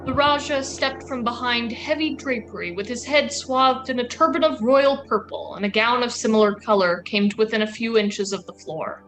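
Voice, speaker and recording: A woman's voice: a little high, normal speed, hardly any pauses, fairly bright tone, poor audio. A woman reading scripted narration for an old-time radio drama.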